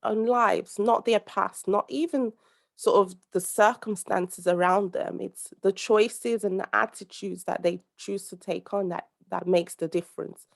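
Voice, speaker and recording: A woman's voice: mid-range voice, a little slow, gravelly. A young adult woman from the UK, a guest speaking earnestly over a call, rambling with many fillers and restarts. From United Kingdom. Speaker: podcast guest, social commentator. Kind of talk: conversational interview over a call.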